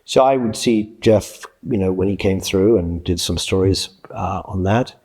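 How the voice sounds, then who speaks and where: A man of about sixty from Scotland, giving reflective interview answers in a measured, explanatory way, often correcting himself. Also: sits low, a little mellow, breathy edge. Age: late 50s to early 60s. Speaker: interviewee, journalist and freelance writer.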